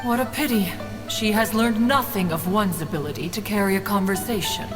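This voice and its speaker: An aloof immortal lady from a video game, acted by a woman, speaking formally in a mid-range voice. Long reverb trails her words, with music clearly underneath. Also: mid-range voice, brisk, close to an everyday voice. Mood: haughty. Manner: formal, aloof, archaic phrasing. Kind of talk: video game character dialogue.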